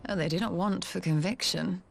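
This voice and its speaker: A serious, analytical scholar from a video game. Her voice sits fairly low, and she speaks in measured, formal, articulate sentences over music. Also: gravelly. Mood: dry.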